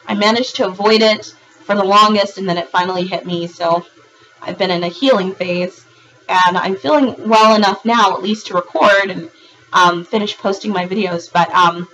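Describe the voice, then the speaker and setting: A woman with a hoarse, raspy voice from a recent virus, giving a monthly tarot reading in a rambling way, with frequent ums and restarts. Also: mid-range voice, steady tone, normal speed, a bit bright, a little hiss or hum, rough recording. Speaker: tarot reader.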